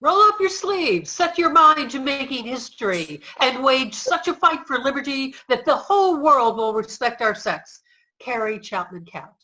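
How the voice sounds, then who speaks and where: Woman as resolute suffrage activist for stage reading, bright forceful voice, precise declarative delivery. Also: high voice, animated, unhurried, heavily acted, rough recording. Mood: rousing. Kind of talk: scripted reader's theater recitation.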